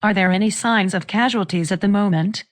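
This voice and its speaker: A woman's voice: medium pitch, brisk, slight background noise, close to an everyday voice. A woman playing a TV news anchor, presenting live disaster coverage in an earnest, concerned way.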